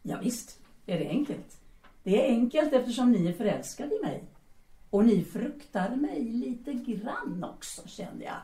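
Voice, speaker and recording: A woman's voice: a little high, sing-song, mellow. An actress in an audio drama playing a bold, seductive Parisian bohemian woman who is teasing, commanding and formal in address. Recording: roomy, echoing sound.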